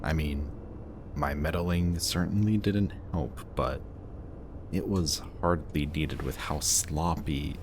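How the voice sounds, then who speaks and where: A man's voice: extremely low pitch, slow, background noise, full character voice. A man playing a smug, guarded supervillain in an audio roleplay, teasing and commanding, grudgingly protective of the person he is addressing. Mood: wry, musing. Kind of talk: scripted roleplay audio drama addressed to the listener.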